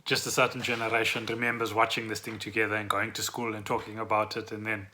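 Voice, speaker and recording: A man with a South African accent and a very bright, forward voice. He talks casually and off the cuff, as a podcast co-host thinking aloud. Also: lowish voice, little pitch movement, fast, slight background noise. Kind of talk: casual unscripted podcast chat.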